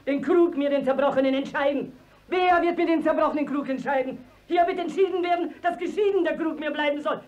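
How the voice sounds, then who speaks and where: Schauspielerin als zänkische Dorfmutter mit hoher Stimme. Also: female voice, high-pitched, fairly quick, darkish tone, lo-fi sound, close to an everyday voice. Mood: furious, mocking. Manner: scolding, declamatory, mocking. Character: quarrelsome, indignant village mother, scornful scold. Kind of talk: classic stage comedy in 1950s German radio acting.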